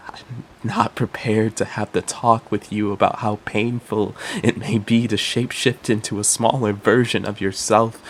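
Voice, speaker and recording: A man performing a poetic monologue as an earnest, troubled would-be father, speaking in a measured, reflective way. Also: mid-range voice, fairly bright tone, close to an everyday voice. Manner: measured, reflective, spoken-word delivery.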